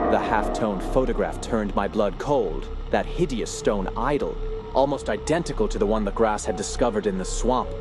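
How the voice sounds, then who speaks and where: A man's voice: mid-range voice, natural voice. An actor playing a brooding, fearful man who narrates a horror tale in grave, literary speech. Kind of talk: dramatic scripted narration.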